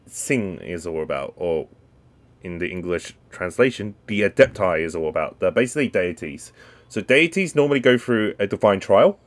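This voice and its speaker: A man casually commentating while playing a game, rambling and chatty with lots of ums and asides. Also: fairly low pitch. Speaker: gaming video host. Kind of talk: casual let's-play commentary.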